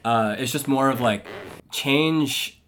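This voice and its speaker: A man in his twenties hosting a podcast, talking in a slow, reflective, measured way that often trails off. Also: mid-range voice, a bit bright, slight background noise. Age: 20s. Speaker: podcast host. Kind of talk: casual chat.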